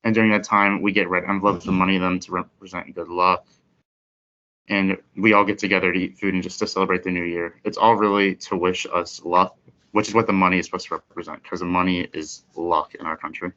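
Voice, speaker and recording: A man's voice: low-pitched, little pitch movement, brisk, pushed and bright. A teenage boy, a student guest who explains things in a casual, matter-of-fact way. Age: teens. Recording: muffled lo-fi recording.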